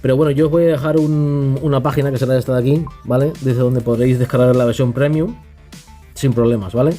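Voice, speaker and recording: A man's voice: mid-range voice, steady tone, quick pace, warm tone, faint music behind. A man with a Castilian accent from Spain giving a casual, unscripted app review, often tagging phrases with little questioning checks. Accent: Castilian Spanish from Spain. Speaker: tech reviewer.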